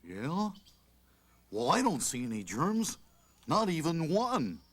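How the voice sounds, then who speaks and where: A man's voice: on the high side, huge pitch swings, slow, exaggerated voice. An actor playing a cocky, boastful male moose in a cartoon. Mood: scoffing. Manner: bragging, blustery.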